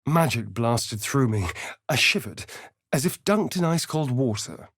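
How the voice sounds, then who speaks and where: An actor playing a suave, sardonic vampire rogue in a video game, vain and theatrical, with an arch, drawling delivery. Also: male voice, mid-range voice, animated, measured pace, a bit bright, husky, no room sound, clean studio sound, heavily acted. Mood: grave narration. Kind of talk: dramatic video game character lines and narration.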